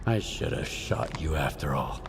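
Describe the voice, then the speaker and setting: A man's voice: rumbling low, animated, a little slow, creaky, noisy background, exaggerated voice. An actor playing a hard, distrustful male wanderer in a video game, speaking in blunt, terse questions and orders. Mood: cold, curt. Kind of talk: video game cutscene acting.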